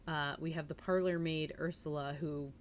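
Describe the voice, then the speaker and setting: A woman with a slightly low voice hosts a cozy story stream, moving between casual chat and reading aloud. Her manner is informal, chatty and giggly. Some noise sits behind her voice on the home streaming mic. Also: steady tone, normal speed. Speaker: livestream host reading a novel aloud. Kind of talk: casual livestream chat and audiobook-style reading.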